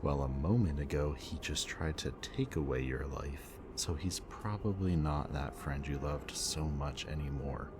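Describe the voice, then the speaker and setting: A man speaking a gentle, hesitant roleplay monologue to the listener as a soft-spoken villain. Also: very deep voice, unhurried, hardly any pauses, background noise.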